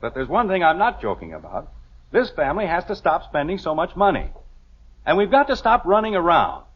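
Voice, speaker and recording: Fed-up american sitcom dad, sarcastic, kinda high animated voice, old radio. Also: male voice, fairly quick, husky, exaggerated voice. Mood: stern, sarcastic. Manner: blustering, sarcastic. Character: exasperated, thrifty middle-aged father and husband. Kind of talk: 1950s radio sitcom acting. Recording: dull vintage radio recording.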